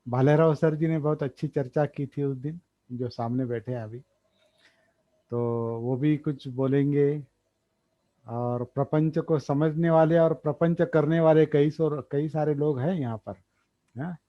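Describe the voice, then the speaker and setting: A man gives a dharma talk, thinking aloud, pausing often and repeating key words. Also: a little high, fairly even intonation. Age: 60s. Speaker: meditation teacher. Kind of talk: slow explanatory dharma talk. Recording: dull lo-fi recording.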